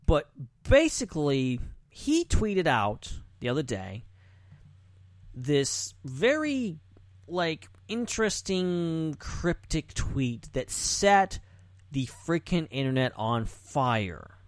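A man's voice: fairly high pitch, very animated, slow pace, dry recording, clean studio sound. A man co-hosting a podcast in casual chat, talking informally in loose fragments peppered with "like". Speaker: podcast co-host.

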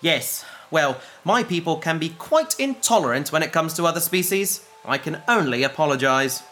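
A man's voice: a little high, lively intonation, normal speed, bright, forceful tone. An actor playing an earnest, naive male space researcher in a sci-fi audio drama, speaking politely and a little pompously. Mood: polite, curious.

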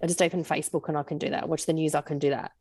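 A woman's voice: deep voice, little pitch movement, rapid-fire, warm tone, slightly rough, no echo. A woman with an Australian accent, an author talking casually and reading fiction aloud as a guest.

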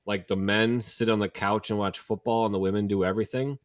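A man with a lowish voice chats easily on a sports podcast. He is laid-back and slangy. The sound is dry. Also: steady tone, a little fast, no echo. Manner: laid-back, says bro and dude. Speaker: podcast co-host. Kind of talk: casual chat.

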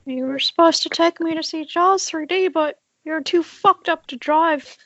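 A woman's voice: very high-pitched, moderate pace, a bit bright, no echo. A woman voicing a bitter, neglected young son, aggrieved and profane, in an amateur screenplay table read. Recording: dull internet call.

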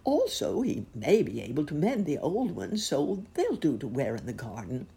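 A woman doing a polite old bunny gentleman. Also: deep voice, big pitch swings, normal speed, vocal fry, breathy edge, heavily acted. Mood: kindly.

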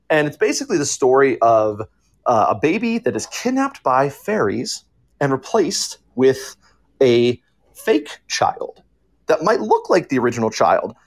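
A man speaking informally as a writer on a podcast panel, explaining in an earnest, emphatic way. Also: mid-range voice.

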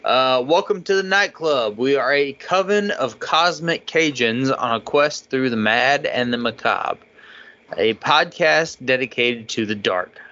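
A man with a bright, forward voice reads listener mail on a casual podcast, loose and chatty. The audio is dull and lo-fi. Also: medium pitch, expressive, unhurried. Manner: laughs often while reading, loose and chatty. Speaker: podcast co-host reading listener feedback. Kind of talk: casual podcast chat and email reading.

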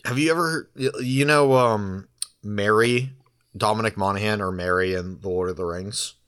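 A man's voice: lowish voice, moderate pace, fairly bright tone. A young man co-hosting a podcast in casual chat, laid-back, swearing freely and laughing easily. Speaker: podcast co-host.

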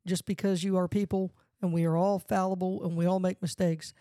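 A man's voice: high-pitched, level tone, a little fast, mellow, smooth, no echo. Playful man co-host, dry close sound. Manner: playful, riffs and jokes. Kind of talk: casual conversational podcast.

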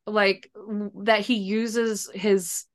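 A woman's voice: medium pitch, little pitch movement, very slow. A woman talking casually as a guest author in an interview, chatty and loose, often saying like and kinda. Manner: chatty, hedging, frequent "like" and "kinda".

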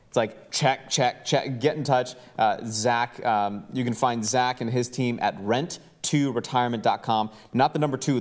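A man hosting a podcast in a casual, jokey way, talking informally and stumbling here and there. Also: fairly low pitch. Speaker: podcast host. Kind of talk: casual interview and event promo.

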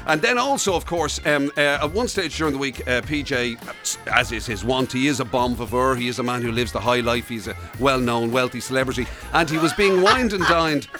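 A man's voice: mid-range voice, lively intonation, brisk, few pauses, music in the background. An Irish man co-hosting a radio show with casual, wry, mock-serious banter. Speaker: radio show and podcast co-host. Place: radio studio.

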